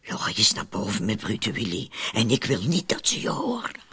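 An actor playing a world-weary brothel madam and conspirator in a radio play, speaking in brief, matter-of-fact remarks. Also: male voice, lowish voice, huge pitch swings, moderate pace, sharp tone, husky, in a whisper, heavily acted. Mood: wary. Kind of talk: Dutch-language radio play acting.

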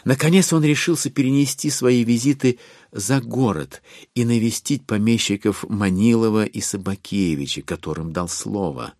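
A man narrating a classic novel as a theatrical audiobook, in a wry, expressive way with deliberate pauses. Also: on the low side, no echo, studio quality.

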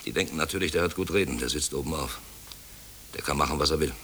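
An actor playing a brusque, overworked middle-aged company director in a German radio play, officious and self-pitying. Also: male voice, very deep voice, fast, fairly bright tone. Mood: bitter, brooding. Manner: brusque, officious, brooding when alone. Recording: hissy old radio play recording.

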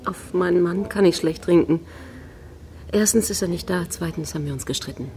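An actress playing a forthright, flirtatious and discontented middle-aged academic's wife in a radio drama, wry and teasing. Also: female voice, lowish voice, a little fast, warm tone, natural voice. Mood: bitter, ironic. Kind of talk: naturalistic radio drama acting.

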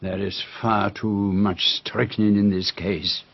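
An actor playing a shrewd, grave Belgian detective with a French-speaking Belgian accent, speaking deliberately and theatrically in a radio drama. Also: male voice, lowish voice, little pitch movement, vocal fry, exaggerated voice. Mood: grave, pensive. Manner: deliberate, theatrical, precise phrasing. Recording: muffled radio drama recording.

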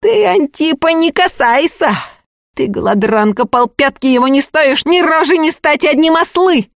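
A woman voicing a furious young peasant woman who hoarsely accuses a rival of witchcraft. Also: sits high, lively intonation, moderate pace, no echo, studio quality, pitched above the performer's own voice. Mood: furious accusing.

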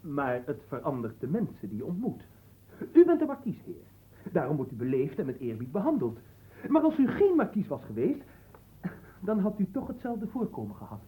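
A man's voice: on the high side, wildly swooping pitch, moderate pace, dark tone. An actor playing a poor, ambitious and cheeky young man in a radio play, speaking politely and earnestly. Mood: boldly cheeky. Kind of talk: theatrical radio play acting.

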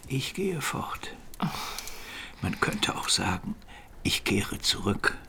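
Gravelly, frail old bishop. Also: male voice, fairly low pitch, sing-song, sharp tone, exaggerated voice. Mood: frail, wry. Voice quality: gravelly, breathy, often dropping to a whisper. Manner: measured, didactic, coughing. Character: elderly sickly medieval bishop, learned, wry, fatherly. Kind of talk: radio play acting.

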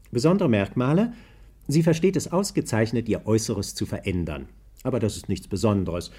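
A male announcer introduces a 1950s radio serial with playful, mock-official flair. His low, husky voice is mellow yet very animated in its scripted delivery. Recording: 1950s German radio recording.

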